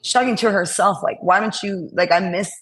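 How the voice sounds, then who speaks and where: A young adult woman with a raspy voice, a musician guest talking earnestly and rambling in a casual interview over a laptop call. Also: mid-range voice, brisk, fairly bright tone, rough recording. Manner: earnest, rambling with many fillers. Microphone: laptop on a video call.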